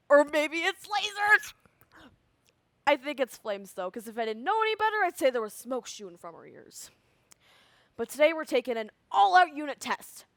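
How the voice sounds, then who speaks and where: Young woman playing high-voiced, anxious school student. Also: fairly bright tone, no room sound, exaggerated voice. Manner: conversational, emphatic storytelling with dramatic pauses. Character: animated, anxious school student recounting events. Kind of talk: theatrical monologue.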